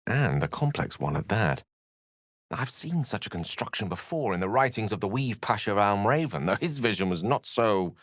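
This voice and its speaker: A man's voice: low-pitched, breathy edge, no echo, studio quality, full character voice. A man voicing a courteous, self-assured wizard companion in a video game, articulate and wryly theatrical. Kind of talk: video game character voice lines.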